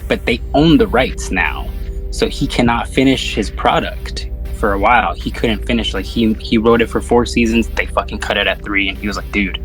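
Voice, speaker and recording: A man's voice: lowish voice, normal speed, soft background music, low-quality recording. A man chatting casually about films as a podcast host, with a slangy, sweary, rambling way of talking. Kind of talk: casual film discussion chat.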